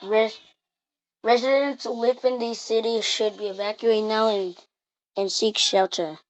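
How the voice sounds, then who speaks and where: A woman reading out an improvised mock emergency alert in a halting way, repeating and restarting her words. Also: on the high side, fairly even intonation. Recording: muffled, roomy home recording.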